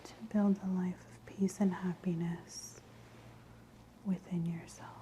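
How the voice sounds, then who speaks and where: A woman doing soft-spoken, mostly whispered ASMR as a practitioner, breathy, gentle and soothing in an unhurried way. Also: fairly low pitch, little pitch movement, slight background noise. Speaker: ASMR host performing a scalp exam roleplay.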